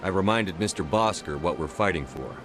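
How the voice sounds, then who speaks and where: An actor playing a resolute military commander, the hero of a video game, direct and firm. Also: male voice, low voice, expressive, brisk. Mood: calm, inquiring. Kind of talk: video game character acting. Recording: background music under the dialogue.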